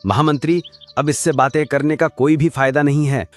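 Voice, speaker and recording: A man's voice: fairly high pitch, steady tone, moderate pace, soft background music, lightly acted. A man voicing an arrogant donkey who rules the jungle, speaking in a commanding royal manner in a Hindi cartoon. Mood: haughty.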